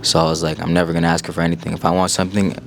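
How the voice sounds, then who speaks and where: Young man, 19, from Iowa, relaxed guest talk, very low and even voice, faint noise behind. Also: racing, vocal fry. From United States (Iowa). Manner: laid-back, relaxed, filler-heavy talk. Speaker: guest entrepreneur. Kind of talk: casual interview.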